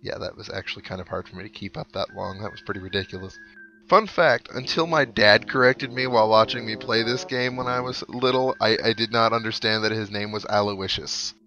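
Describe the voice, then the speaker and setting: Bright-voiced, wry gaming host. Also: male voice, fairly low pitch, a little fast. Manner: wry, sarcastic asides. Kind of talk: casual let's play commentary. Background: game music.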